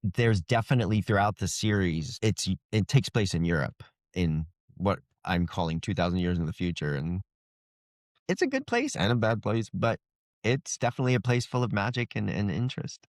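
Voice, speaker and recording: A man's voice: lowish voice, sing-song, normal speed, dry recording, studio quality. A man talking casually as an interviewed author, explaining things in a wry, good-humored way. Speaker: fantasy author being interviewed.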